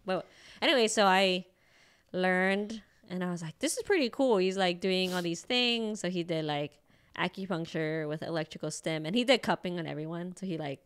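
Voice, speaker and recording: A woman talks loosely on a podcast. She rambles along, full of fillers and small laughs, in a smooth, resonant voice pitched on the low side. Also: moderate pace. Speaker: acupuncturist podcast co-host. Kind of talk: casual chat.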